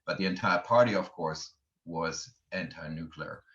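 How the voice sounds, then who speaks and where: A German man with a German accent, a podcast guest speaking in a measured, pausing way. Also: deep voice, fairly bright tone. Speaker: guest, nuclear advocate. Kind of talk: conversational interview answer. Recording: dull remote audio.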